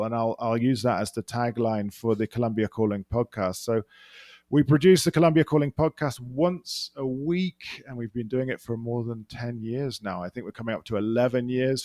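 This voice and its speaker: A man's voice: mid-range voice, darkish tone, dry recording. A man with a London accent chatting as a podcast guest, rambling with frequent restarts and fillers. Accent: London English. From England. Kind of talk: casual interview chat.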